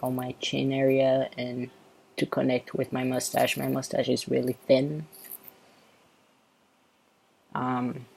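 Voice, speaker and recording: Soft androgynous guy vlogging, bit raspy with gravelly fry, laid back. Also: mid-range voice, poor audio. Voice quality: androgynous-sounding voice. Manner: relaxed and unhurried, with frequent ums. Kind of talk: casual update vlog.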